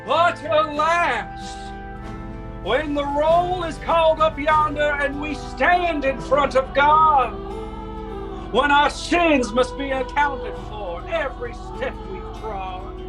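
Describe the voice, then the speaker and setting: A man playing a fervent, solemn preacher, declaiming a drawn-out dramatic sermon about sin. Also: very high-pitched, low-quality recording. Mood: mournful, fervent. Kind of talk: dramatic sermon in rhymed verse. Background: plucked guitar music.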